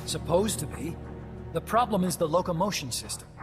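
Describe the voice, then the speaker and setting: A man's voice: high voice, animated, normal speed. An actor voicing an earnest, talkative young male engineer scientist who explains things at length in a game cutscene. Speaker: game character. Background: game score music.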